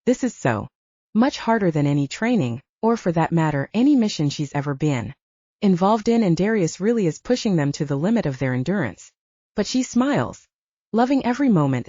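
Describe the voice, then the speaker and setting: A woman's voice: fairly low pitch, big pitch swings, a little fast, warm tone, slightly rough, dry recording, highs cut off. A woman reading an audiobook, narrating dramatic fiction in a scripted style.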